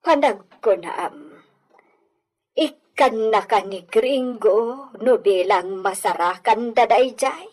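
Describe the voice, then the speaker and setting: A woman's voice: on the high side, takes it slowly. A woman playing a skeptical, level-headed village confidante in an Ilocano audio drama. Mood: doubtful.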